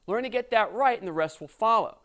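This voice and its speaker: Brief: narrator for a short essay segment, steady, measured, mid pitch. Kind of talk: scripted narration.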